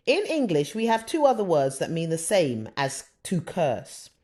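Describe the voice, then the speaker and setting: Female audiobook reader for faith-based teaching text; animated, wide-ranging pitch, deliberate and emphatic delivery.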